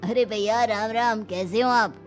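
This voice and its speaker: A woman's voice: fairly high pitch, steady tone, unhurried, faint music behind, lightly acted. A woman voicing an impatient middle-aged husband who orders his wife around. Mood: respectful. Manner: curt, ordering.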